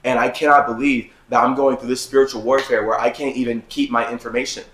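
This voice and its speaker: A man with a raspy, strained voice that is giving out, delivering a heated, ranting monologue as a vlogger. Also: mid-range voice, level tone, fast talker, a bit bright, roomy sound. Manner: heated, ranting, with run-on sentences. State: losing his voice. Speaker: vlogger host. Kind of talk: agitated monologue to camera. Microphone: phone.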